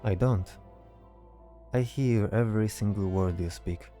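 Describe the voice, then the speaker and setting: A man voicing a stoic, cryptic mage in a fantasy audio drama, calm and assured, with formal, deliberate phrasing. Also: fairly low pitch, soft background music, close to an everyday voice. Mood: calm, pensive.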